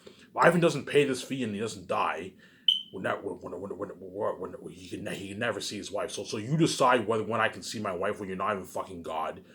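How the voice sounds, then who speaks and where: A man's voice: deep voice, a little fast, very noisy. A man ranting alone to his viewers, profane and boastful, who keeps circling back with repeated words. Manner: repetitive, keeps adding "obviously". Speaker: self-published writer vlogger. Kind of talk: rambling solo rant to viewers.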